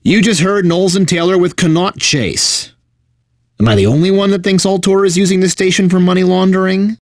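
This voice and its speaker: A man's voice: sits high, fairly quick, no room sound, clean studio sound. An actor playing a bitter, sardonic video game radio DJ who resents his corporate bosses, mixing station patter with theatrical despair. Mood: sardonic, fed up.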